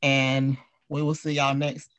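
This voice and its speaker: A man's voice: mid-range voice, completely flat delivery, unhurried, fairly bright tone. Playful man hosting a chat. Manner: playful, teasing, slangy. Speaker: talk show host. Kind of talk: casual chat with guests.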